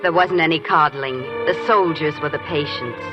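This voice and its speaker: An actress playing a tough army nurse lieutenant who tells the story and trades dry, teasing lines in a 1940s radio drama. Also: female voice, deep voice, normal speed, dull audio, natural voice. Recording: 1940s radio broadcast under music.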